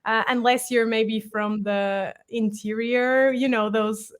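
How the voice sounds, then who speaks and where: A woman's voice: fairly high pitch, steady tone, slow, smooth. Portuguese woman, chatty live-stream guest. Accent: European Portuguese. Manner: chatty, many fillers and hedges. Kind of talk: casual conversation on a live stream.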